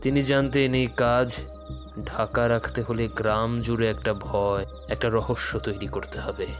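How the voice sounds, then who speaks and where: An actor playing a calm, enigmatic detective in an audio thriller, speaking in a measured, deliberate, brooding way. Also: male voice, medium pitch, natural voice. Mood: grave, explaining. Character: calm, enigmatic male detective who works in the shadows. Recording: dramatic music under the voice.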